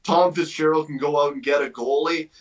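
A man's voice: fairly high pitch, a little fast, far from the mic. A man giving opinionated hockey analysis as a guest on a sports radio interview, conversational and emphatic, thinking aloud as he goes.

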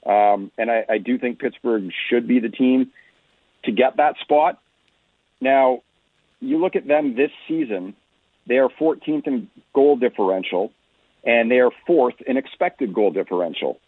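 A man's voice: medium pitch, slightly rough, no room sound. A man giving off-the-cuff hockey analysis as a radio guest, talking conversationally and often rephrasing as he goes. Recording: muffled, phone-like radio call-in line.

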